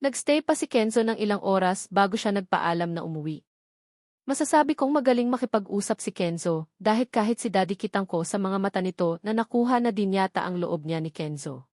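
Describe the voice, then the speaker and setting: A woman's voice: mid-range voice, animated, brisk, darkish tone, dry recording, studio quality. A woman with a Filipino accent narrating a first-person romance novel from a script. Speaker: audiobook narrator.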